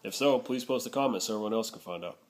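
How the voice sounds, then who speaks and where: A man's voice: fairly low pitch, very fast. A man narrating a casual product review, explaining things step by step.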